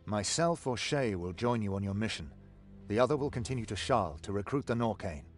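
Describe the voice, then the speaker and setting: An actor playing an earnest, loyal soldier in a fantasy video game. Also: male voice, on the low side, expressive, a little fast, faint music behind. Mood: urgent briefing. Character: earnest, loyal male soldier reporting on war.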